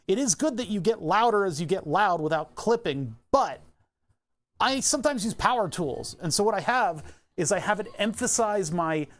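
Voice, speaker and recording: A fairly high-voiced man rambles through a relaxed live stream, chuckling now and then as he walks through his setup. He speaks into a lapel mic clipped on in his home workshop. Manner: easygoing, chuckles often, talks through his screen setup. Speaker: livestreamer.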